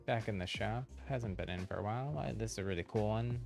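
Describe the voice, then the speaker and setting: Male game streamer, low gravelly voice, unhurried rambling delivery, casual, faint music behind. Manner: rambling, thinking aloud. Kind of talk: casual livestream chat while playing.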